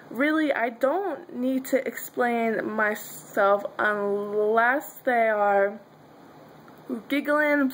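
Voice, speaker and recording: Slow-talking young woman who stutters. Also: fairly high pitch, a bit bright, a little hiss or hum, low-quality recording. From Pennsylvania, US. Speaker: vlogger. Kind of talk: casual video diary. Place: dim bedroom, indoors. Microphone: webcam or phone held close.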